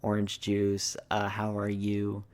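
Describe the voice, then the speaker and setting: A young man speaks softly in an ASMR ramble, with a low voice that stays extremely even. His delivery is casual and hesitant, wandering from phrase to phrase with lots of filler words. The sound is very dry and close. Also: takes it slowly, dry recording. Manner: casual, hesitant, meandering, frequent "like". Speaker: ASMR video creator. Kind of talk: soft-spoken ASMR rambling.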